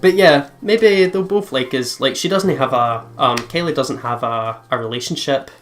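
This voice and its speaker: A man's voice: medium pitch, normal speed, a bit bright. A man hosting a let's play, switching between reading story text aloud and chatty asides, with game music behind. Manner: giggly, chatty asides between read passages. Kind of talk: reading visual novel text aloud mixed with casual chat. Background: game background music.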